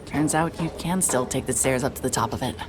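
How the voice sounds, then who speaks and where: A hard-boiled female private investigator in a noir audio drama. Her voice sits low, with a dry, sardonic, irritable edge. Clear ambient noise runs behind her. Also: brisk. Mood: wry, weary. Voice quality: low-pitched voice. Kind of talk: cinematic audio drama, noir narration and naturalistic dialogue.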